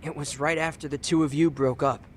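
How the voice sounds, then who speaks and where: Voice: mid-range voice, steady tone, fairly quick, a little hiss or hum, lightly acted. An actor playing a cheeky but earnest teenage boy in a video game dub, talking in offhand, youthful slang. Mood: subdued, matter-of-fact.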